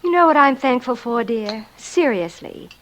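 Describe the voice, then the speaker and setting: An actress playing a contented middle-aged wife and mother in an old radio drama, homely and gently teasing. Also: on the high side, lively intonation, moderate pace. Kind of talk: 1940s-50s radio drama acting.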